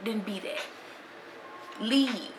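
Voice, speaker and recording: A woman's voice: medium pitch, very slow. A woman, talking casually and with animation as a podcast host in a slangy monologue. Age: 20s. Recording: echoey room with faint noise.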